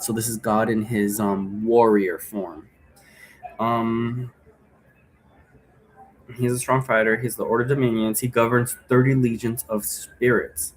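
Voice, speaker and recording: A man's voice: on the low side, low-quality recording. Man explaining casually over background noise. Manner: rambling, checks understanding with "right?". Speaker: teacher explaining occult role-play rules. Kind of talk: casual unscripted explanatory talk.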